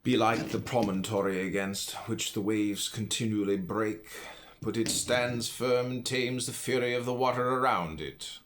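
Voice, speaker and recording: A man reading classical philosophy aloud in a deliberate, declamatory manner with emphatic pauses. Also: on the low side, fairly even intonation, a bit bright, slight background noise. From United States. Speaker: reader, podcast host.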